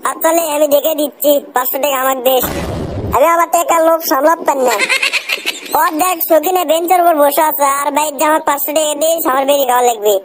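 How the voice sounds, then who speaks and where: Greedy young cartoon sidekick, played by a man: high pitched-up voice, blunt delivery, clearly noisy, clicking recording. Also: quick pace. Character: greedy young male village friend. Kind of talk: comic cartoon dialogue.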